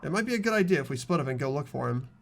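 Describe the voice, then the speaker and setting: An expressive playthrough host narrating and reading out a visual novel game. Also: medium pitch, very fast, gravelly.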